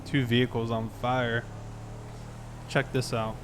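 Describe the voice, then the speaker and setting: A man's voice: medium pitch, level tone, slow. Casual American man streaming, faint noise behind. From South Carolina, United States. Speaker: live streamer roleplaying a police officer. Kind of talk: casual livestream chat with police radio roleplay. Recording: clear background noise, vehicle sounds.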